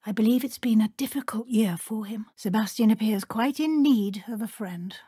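An actress playing a kindly, concerned middle-aged professor at a wizard school in a video game. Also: female voice, a little high, normal speed, dark tone, husky, no echo, clean studio sound. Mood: gentle concern. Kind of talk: scripted video game dialogue.

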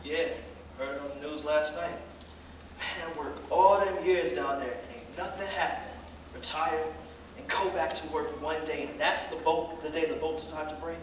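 A man's voice: on the high side, fairly even intonation, normal speed, close to an everyday voice. An actor playing a reflective, plain-spoken working man in a staged play reading. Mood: baffled sorrow. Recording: echoey room, distant mic, clear noise.

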